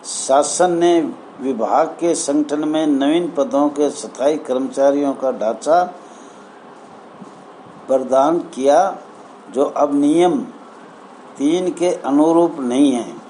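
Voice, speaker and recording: Slow-talking man over background noise. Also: fairly high pitch, warm tone, rough recording. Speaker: presenter explaining rules to fellow members. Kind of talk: reading aloud and explaining official documents.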